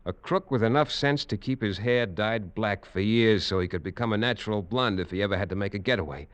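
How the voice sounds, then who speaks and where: A man's voice: on the low side, fairly quick, lo-fi sound, lightly acted. An actor playing a shrewd, sardonic lawman in 1940s radio drama, with a controlled and knowing manner.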